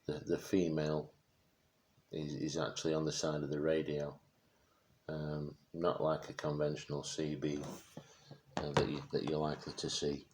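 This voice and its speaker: A man giving a casual, unscripted product demonstration, speaking haltingly as he searches for words. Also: extremely low pitch, darkish tone, slight background noise. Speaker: presenter showing vintage radio gear.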